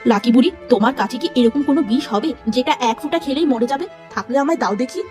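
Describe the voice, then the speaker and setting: A woman voicing a vain, selfish younger sister who talks in a shrill, bossy, scornful way. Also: fast talker, dark tone, faint music behind, close to an everyday voice. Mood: scheming.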